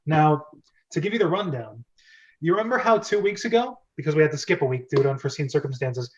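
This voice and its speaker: A man with a slightly high voice leads a casual club discussion. He talks in a chatty, explanatory way. The sound is a little dull and lo-fi, like an online call. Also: very animated, quick pace, a little hiss or hum. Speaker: host of a script reading club. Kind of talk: casual group discussion with read-aloud script.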